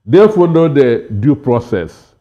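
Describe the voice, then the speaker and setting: A man giving political commentary as a panel guest, halting and repeating words for emphasis, on dull lo-fi audio. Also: medium pitch, very animated.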